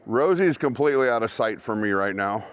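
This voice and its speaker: A man's voice: medium pitch, animated, a little fast, clean tone. A man hosting a gear review vlog, chatting informally and directly to his viewers. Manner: informal, conversational, talks to viewers. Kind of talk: casual product review chat to camera.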